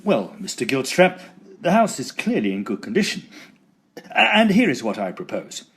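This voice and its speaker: A man's voice: medium pitch, wildly swooping pitch, moderate pace, breathy edge, exaggerated voice. A man playing an eager, inquisitive Edwardian ghost hunter in an audio drama, with theatrical, formal diction. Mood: brisk, curious. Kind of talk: audio drama narration and dialogue.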